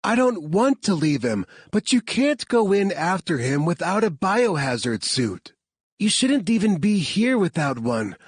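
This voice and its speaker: A man's voice: high voice, very animated, unhurried, breathy edge, no echo, voice pushed up, heavily acted. A man voicing a fierce, determined woman scientist in an audiobook, speaking urgently.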